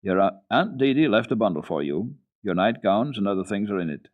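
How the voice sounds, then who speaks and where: A man voicing a kindly old grandfather in a children's storybook, speaking in plain, measured instructions. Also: on the low side, animated, phone-quality sound, lightly acted. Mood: instructing.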